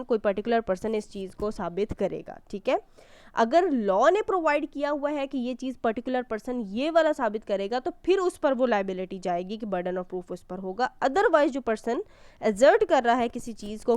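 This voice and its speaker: High-voiced woman teaching law. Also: darkish tone, smooth, dry recording. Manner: didactic, checks understanding with rhetorical questions. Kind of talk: explanatory lecture, reading statutes and explaining them.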